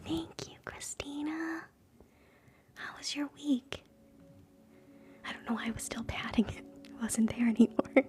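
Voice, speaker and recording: A woman hosting live ASMR in a soft, breathy whisper, gentle and soothing, moving between guided relaxation and quiet chat. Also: high-pitched, measured pace, long pauses. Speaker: ASMR streamer, host.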